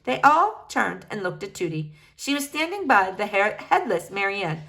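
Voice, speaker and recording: A woman reading a children's book aloud with expressive storytelling. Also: medium pitch, normal speed, rough recording. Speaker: reader.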